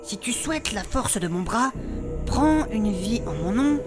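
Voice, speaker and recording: A woman plays a level-headed, inquisitive adventurer in a comic fantasy audio drama. Her voice is on the high side and her manner is brisk and practical, over prominent music. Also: big pitch swings. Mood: solemn reading. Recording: music behind the voice.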